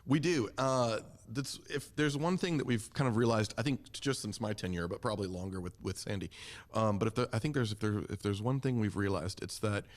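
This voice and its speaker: Low-voiced man in conversation. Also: a little fast. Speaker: community theater member, podcast guest. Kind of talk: conversational interview answers.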